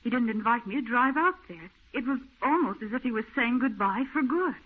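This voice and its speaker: A woman's voice: fairly high pitch, lively intonation, fairly quick, breathy edge. Lovelorn young woman, muffled old radio. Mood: troubled. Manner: earnest, emotional, melodramatic. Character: anxious, lovelorn young woman fretting over her troubled fiancé. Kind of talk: old-time radio drama acting. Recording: muffled, narrow-band old radio recording.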